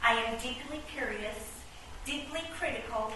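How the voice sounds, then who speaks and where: A sassy castle from a chess set played by a woman, high and bright, wry and theatrical. She speaks in a comic radio play recorded with heavy echo. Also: unhurried, distant mic. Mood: earnest, critical. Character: curious, critical, sassy female chess castle piece. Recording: echoey, faintly noisy stage recording from afar.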